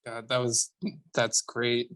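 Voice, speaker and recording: A man's voice: medium pitch, completely flat delivery, slow pace, a bit bright, no room sound. A man in his twenties, a podcast guest, chatting casually with dry, self-mocking humor. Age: 20s. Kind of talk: casual chat.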